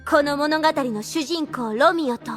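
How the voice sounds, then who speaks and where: A woman's voice: sits high, measured pace, faint music behind. A woman playing a haughty, jealous vampire girl in an anime audio drama, with quaint courtesan turns of phrase and a theatrical, accusing manner. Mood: dramatic, flustered.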